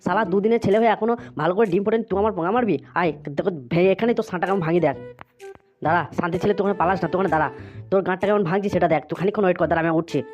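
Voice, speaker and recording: Lazy, abusive cartoon dad female performer, rapid cursing, slightly muffled sound. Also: lowish voice, a little mellow, natural voice. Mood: threatening. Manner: irritable, cursing, scolding. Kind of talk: comedy cartoon dubbing. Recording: muffled lo-fi audio with music.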